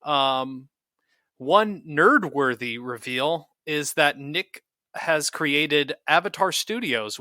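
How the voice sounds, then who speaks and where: A man's voice: a little high, sharp tone, dry recording, studio quality. A man co-hosting a podcast, presenting news in a semi-scripted rundown. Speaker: podcast co-host.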